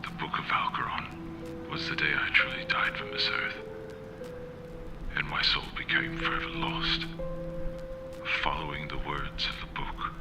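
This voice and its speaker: A very deep, gravelly doomed scholar. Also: male voice, little pitch movement. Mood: haunted regret. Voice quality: gravelly, breathy half-whisper. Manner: slow, grave, halting, theatrical. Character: doomed, remorseful male scholar recording a last confession. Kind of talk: horror audio drama monologue. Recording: music playing under the voice. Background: loud music.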